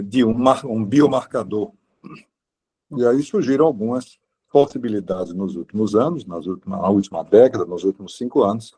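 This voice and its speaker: A man introducing a guest lecturer over a video call. His voice is low and mellow. He speaks in halting phrases and often restarts mid-sentence. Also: husky.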